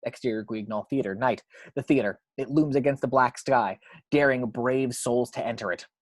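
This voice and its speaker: A man's voice: mid-range voice, normal speed, no room sound. Dry-recorded man narrating a screenplay. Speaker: narrator reading action description.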